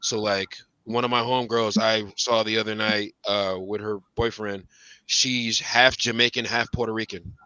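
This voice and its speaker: A man from Chicago, raised in Indiana, talking in a casual conversation, speaking in fragments with restarts and getting animated when he makes a point. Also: normal speed, clear, resonant tone, dry recording, lo-fi sound. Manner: animated, rises in pitch when making a point.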